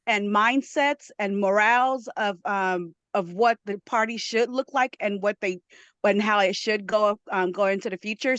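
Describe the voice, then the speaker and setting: Woman club president on a video call, mid-pitched, dry sound, emphatic advocate. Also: fairly bright tone, no echo. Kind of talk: speaking at an online meeting.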